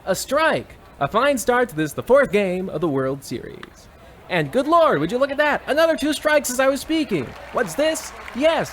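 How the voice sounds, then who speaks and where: A man playing an excitable, folksy old-time radio baseball announcer with theatrical, exclamatory patter. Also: sits high, slight background noise. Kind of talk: 1940s radio sports commentary parody.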